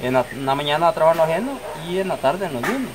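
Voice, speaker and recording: A man's voice: fairly high pitch, big pitch swings, rapid-fire, a crowd in the background, poor audio. A middle-aged man playing a friendly, persuasive countryman in an amateur drama, rambling and repeating himself, recorded outdoors. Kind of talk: amateur acted family drama, improvised dialogue. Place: outdoors in a rural yard under trees. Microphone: camera a few metres away. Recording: outdoor recording with clear background noise.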